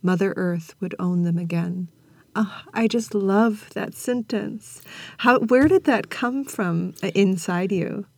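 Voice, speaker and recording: A woman hosts a reflective podcast with a mellow, dark-toned delivery. Her voice has a husky, breathy edge. The sound is dry, with little room in it. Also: mid-range voice, slow pace, no room sound. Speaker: podcast host. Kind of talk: reflective interview and scripted podcast narration.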